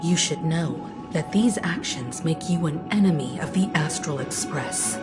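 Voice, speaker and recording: A woman's voice: sits low, animated, a little slow. An actress playing a poised adult female captain who speaks in a composed, cool, firm way. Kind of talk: video game voice acting. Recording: game cutscene with loud score. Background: orchestral music.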